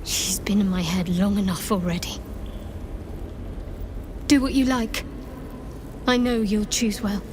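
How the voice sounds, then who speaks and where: A woman's voice: mid-range voice, a little slow, long pauses, noisy background, lightly acted. A woman playing a wounded, resolute young ex-cultist in a fantasy game, terse and demanding in her speech. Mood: cold resolve. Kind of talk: dramatic fantasy game acting. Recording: music playing behind the voice.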